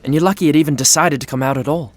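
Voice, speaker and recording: An actor playing a lovestruck young royal fiancé in an audio romantic comedy, halting, earnest and easily flustered. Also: male voice, a little high, natural voice. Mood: earnest, smitten. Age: young adult.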